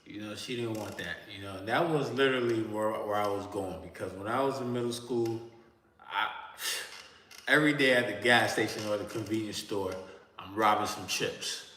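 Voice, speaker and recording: A Miami-accented man talks loosely to his stream chat, full of slang and swearing. His voice is bright and pushed, pitched a little low, and it rings through a roomy space with a long echo tail and a faint hiss. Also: normal speed. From Miami, Florida. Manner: loose, slangy and profane, talking straight to chat. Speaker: livestreamer. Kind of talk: casual livestream chat to viewers. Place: indoor room, seated in a creaky chair. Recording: roomy echoey room with long reverb.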